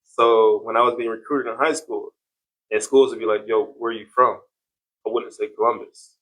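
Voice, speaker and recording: A man's voice: fairly low pitch, steady tone, normal speed, a bit bright, low-quality recording. A man in his mid twenties with an American accent, chatting casually as a podcast guest about himself. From United States, born in Miami and raised in Ohio. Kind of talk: casual chat.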